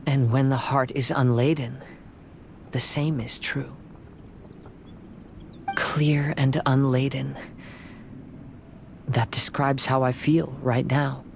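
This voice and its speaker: Slow, serene young samurai wanderer. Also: male voice, mid-range voice, a little hiss or hum. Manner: gentle, measured, poetic.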